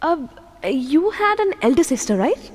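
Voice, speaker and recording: A woman's voice: high-pitched, big pitch swings, a little slow, in a large hall. An actress as a spirited young Indian woman. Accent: Indian English. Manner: direct, spirited. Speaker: young woman in a radio play. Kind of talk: audio drama acting.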